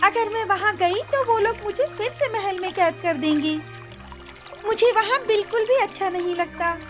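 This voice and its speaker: A woman's voice: very high voice, moderate pace, music in the background, voice pushed up. A woman voicing a stubborn, hurt young princess in a cartoon story, with a childlike, insistent way of complaining. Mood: eager, insistent.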